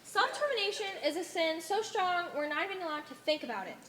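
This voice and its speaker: Naive, obedient otherworldly follower played by a woman; very high, animated, formally puzzled, in a reverberant stage play. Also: fast talker, slight background noise. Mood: confused, earnest. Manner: formal, uncontracted, puzzled phrasing. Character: naive, obedient member of a blue cult people, easily confused. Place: theatre stage. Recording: echoey stage hall recording.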